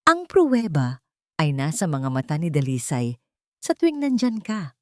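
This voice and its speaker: A woman's voice: low voice, very animated, moderate pace, darkish tone, no echo. Woman acting fearless young male doctor, defiant, firm, direct, audio story drama, dry recording. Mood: fearless accusation.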